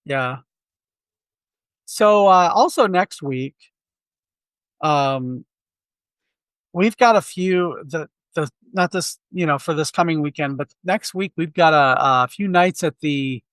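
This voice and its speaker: A man's voice: on the high side, slow, long pauses, fairly bright tone, clear, resonant tone, dry recording. A man co-hosting a film podcast, chatting candidly in a rambling way with many restarts. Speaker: podcast co-host. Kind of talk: casual film chat. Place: Quad City area.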